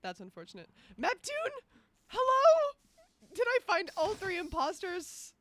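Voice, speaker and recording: A man's voice: very high voice, wildly swooping pitch, measured pace, pushed and bright. A man chatting casually and playfully as a player in an online game, with dramatic little asides. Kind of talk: casual group game chat. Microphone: voice chat microphone. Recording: clearly noisy home mic with clicks.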